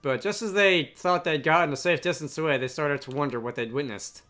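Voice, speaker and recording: A man voicing a chirpy, earnest young adventurer from a video game, with an eager, animated way of telling things. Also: fairly high pitch, a little fast. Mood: eager storytelling.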